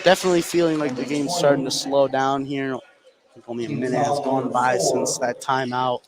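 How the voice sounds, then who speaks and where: A man working as color commentator on a live high school basketball broadcast, giving conversational analysis between plays. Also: little pitch movement, moderate pace, over a noisy crowd.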